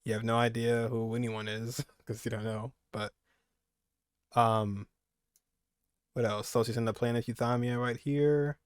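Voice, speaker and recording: A man's voice: medium pitch, little pitch movement, unhurried, pauses often, clear, resonant tone, no echo, studio quality. A young man giving casual, rambling reaction commentary as a gaming streamer, repeating phrases for emphasis.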